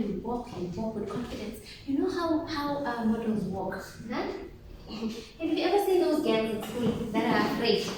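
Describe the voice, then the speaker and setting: A woman's voice: on the high side, slight background noise. Woman, forties, from South Africa, motivational workshop talk, quizzes the audience, distant mic, very reverberant room. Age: 40s. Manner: lively, asks the audience questions often. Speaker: workshop facilitator. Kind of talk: motivational talk to teenage girls.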